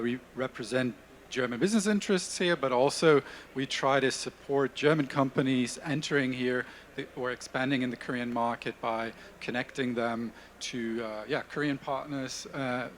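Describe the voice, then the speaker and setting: A man's voice: mid-range voice, steady tone, normal speed, fairly bright tone. Formal male panelist, faint background noise. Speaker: panelist, chamber of commerce official. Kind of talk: conference panel answer. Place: conference stage.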